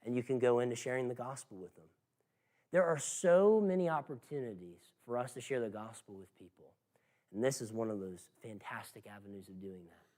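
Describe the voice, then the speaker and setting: A man preaching an expository sermon in an earnest, exhorting manner, emphatic and given to rhetorical questions. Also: mid-range voice. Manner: emphatic, swings from hushed to loud.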